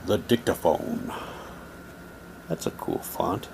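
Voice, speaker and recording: Guy reading stuff out loud for an unboxing, kinda halting, mutters little asides, some noise behind him. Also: low voice, huge pitch swings, gravelly. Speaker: unboxing presenter. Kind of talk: casual unboxing, reading old newspaper props aloud.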